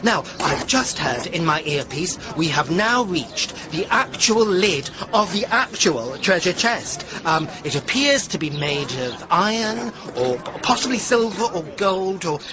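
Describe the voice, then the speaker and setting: Camp, excitable, high-voiced chap. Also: male voice, unhurried, few pauses, highs cut off, a crowd in the background. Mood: pompous, bungling. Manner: theatrical, flippant, bungling. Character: camp, catty, excitable man playing at TV presenter. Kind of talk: radio comedy drama acting.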